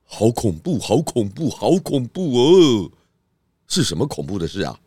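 A man playing a curious, easily impressed fellow in a children's audio drama, with a husky voice. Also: mid-range voice, sing-song, normal speed, a little mellow, dry recording, studio quality. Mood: mock fright.

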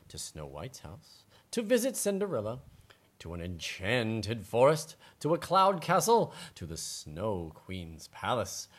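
A man co-hosting a casual, playful story game, reading choices aloud and joking along in a teasing way. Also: on the low side.

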